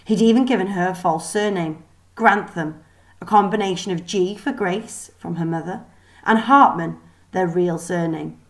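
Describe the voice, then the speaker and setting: A woman reading a children's novel aloud as expressive scripted narration. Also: on the low side, moderate pace. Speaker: storybook reader.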